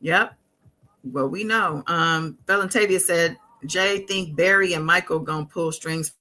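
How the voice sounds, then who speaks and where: A woman with a slightly low, notably bright and forward voice hosts a live commentary stream. She talks casually and conversationally, often stopping and restarting as she works out her thoughts while responding to her chat. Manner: conversational, halting, thinking aloud.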